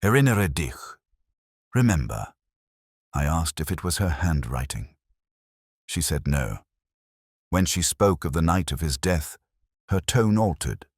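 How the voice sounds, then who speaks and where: A man reading a scripted first-person mystery story in a measured, solemn, literary manner. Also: very deep voice, darkish tone, slightly rough, dry recording, clean studio sound. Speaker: narrator.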